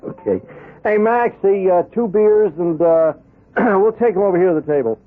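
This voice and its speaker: An actor playing an eager young small-time hustler in a radio drama, with an American accent and a slangy, hesitant, nudging way of talking. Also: male voice, sits high, moderate pace, phone-quality sound. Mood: coaxing. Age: young adult. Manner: slangy, hesitant, nudging, tags phrases with "huh". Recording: band-limited radio drama with music.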